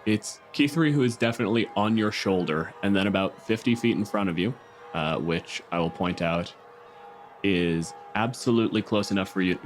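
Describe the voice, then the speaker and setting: A man with a fairly low voice works as game master and podcast co-host. He talks casually as he referees a tabletop game, with faint music underneath. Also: normal speed.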